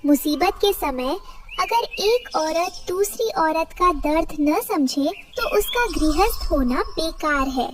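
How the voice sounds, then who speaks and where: Humble mother sparrow, female performer, gentle, unhurried, very high voice, a little distant from the mic. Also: lively intonation, a little hiss or hum, full character voice. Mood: forgiving, consoling.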